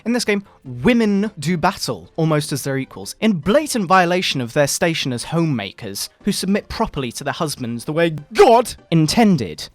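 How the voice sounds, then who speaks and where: A man voicing a pompous, sanctimonious fundamentalist preacher parent in a mock-dramatic sermon, with theatrical, drawn-out emphasis. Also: on the high side, big pitch swings, dry recording. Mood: solemn disapproval. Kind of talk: mock-dramatic reading of a moral-panic sermon. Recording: background video game music.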